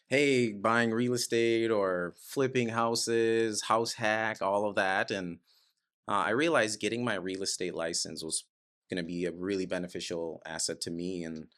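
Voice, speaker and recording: Man in his 30s, from Guyana, slightly low voice, energetic, laughs a lot, loose rambling talk, podcast guest. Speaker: realtor guest. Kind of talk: casual podcast interview.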